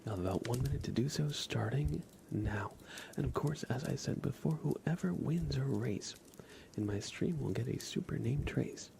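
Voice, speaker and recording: A man hosting an ASMR livestream, chatting casually with viewers in a soft, breathy half-whisper, sometimes drawing words out and repeating them. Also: medium pitch, lively intonation, normal speed, a little hiss or hum. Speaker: livestream host.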